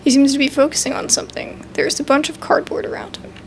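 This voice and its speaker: Female gamer: casual play commentary and narration, high register, faint background noise. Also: big pitch swings, fast talker, creaky. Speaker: gamer playing and reading a game aloud.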